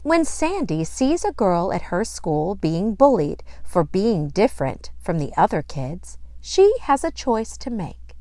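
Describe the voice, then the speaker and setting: Slow, animated woman reading a children's story. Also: medium pitch, no echo. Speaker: storybook narrator. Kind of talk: expressive children's story narration.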